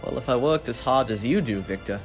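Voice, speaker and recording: An actor playing a witty, easygoing young gentleman in a radio play, bantering in old-fashioned phrases. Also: medium pitch, expressive, fairly quick, soft background music, lightly acted. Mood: wry, teasing. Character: witty, easygoing young gentleman, scientist's teasing best friend. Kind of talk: dramatic radio play acting.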